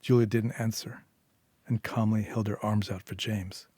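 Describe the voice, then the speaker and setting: A man's voice: on the low side, steady tone, normal speed, dark tone, no echo, clean studio sound. A man reading a short story aloud as scripted narration. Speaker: story reader.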